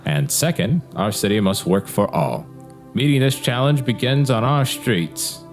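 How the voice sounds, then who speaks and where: An actor playing a cheerful, self-satisfied city mayor in an audio drama, speaking like a pompous, folksy politician. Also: male voice, mid-range voice, lively intonation, measured pace. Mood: rousing oratory. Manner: pompous, oratorical, smooth politician. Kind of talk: scripted audio drama acting. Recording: loud music behind the voice.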